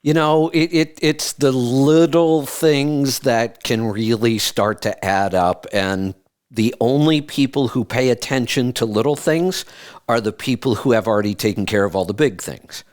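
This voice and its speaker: A man's voice: medium pitch, measured pace, a little mellow. A man hosting a radio call-in show, talking casually with callers.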